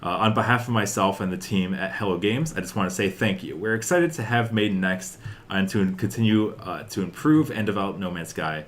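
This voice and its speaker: A man's voice: on the low side, lively intonation, brisk, a little hiss or hum. A young man hosting a podcast, chatting casually and rambling about with lots of filler words. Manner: rambling, peppered with "like" and "uh". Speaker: podcast host. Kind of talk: casual chat about video game news.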